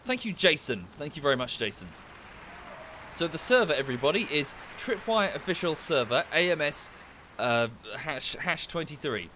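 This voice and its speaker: A man's voice: a little high, sing-song, moderate pace, pushed and bright, a little hiss or hum. A man livestreaming from home, chatting casually and thanking viewers, a little nasal and bunged up from a cold. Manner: rambling, thanks viewers in passing. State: coming down with a cold.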